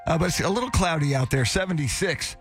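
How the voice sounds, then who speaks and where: High-voiced joking male radio host. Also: lively intonation, quick pace, soft background music. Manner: chatty, joking, self-deprecating. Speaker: morning radio news host. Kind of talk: casual banter and news reading.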